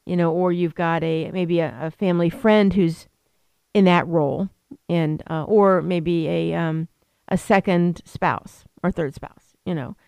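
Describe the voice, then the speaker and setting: A woman's voice: on the low side, fairly even intonation, a little slow, gravelly, a little mellow, clear, resonant tone, no room sound. A woman attorney explaining elder law on a radio talk show, rambling with many fillers and restarts. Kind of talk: radio interview.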